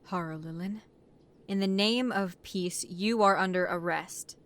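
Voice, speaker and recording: A woman's voice: fairly low pitch, measured pace, fairly bright tone. A woman playing a commanding, vengeful young chief detective in an audio drama, with a firm, curt, authoritative delivery. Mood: defiant, angry. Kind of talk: audio roleplay drama acting.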